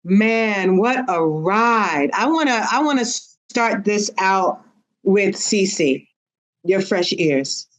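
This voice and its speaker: A woman's voice: mid-range voice, expressive, slow. A woman hosting a casual panel discussion in an encouraging manner.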